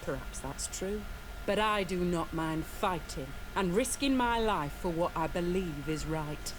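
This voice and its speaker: An actress voicing a principled, weary woman commander of a mercenary guard in a video game, speaking earnestly in measured, formal phrases. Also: on the low side, expressive, background noise. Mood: earnest, warm.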